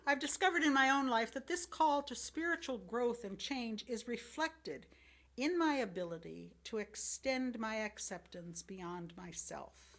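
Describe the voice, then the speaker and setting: A woman reading a sermon from a script as a lay church speaker, in earnest, deliberate phrases. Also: a little high, expressive, a bit bright. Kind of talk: sermon, read from a script with short personal commentary.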